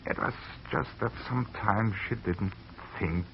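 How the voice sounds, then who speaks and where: A grieving farmer who stumbles over his words. His voice sits low and gravelly, unhurried, with gentle hesitations. It comes through the dull, muffled sound of an old radio broadcast. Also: slight background noise. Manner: halting, stammering, soft-spoken. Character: weary older farmer grieving a lost girl. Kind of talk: 1940s radio acting.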